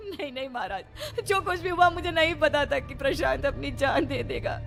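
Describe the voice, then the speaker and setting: A woman's voice: sits high, moderate pace, vocal fry, a bit bright, soft background music. A woman playing a guilt-ridden, desperate mother in a cartoon story, pleading dramatically. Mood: tearful, remorseful. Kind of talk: Hindi cartoon story acting.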